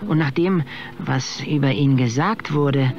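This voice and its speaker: A man's voice: medium pitch, measured pace, highs cut off, natural voice. An actor playing a shy, deferential male attendant in a radio drama, hesitant and polite. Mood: wistful. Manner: hesitant, polite, soft-spoken.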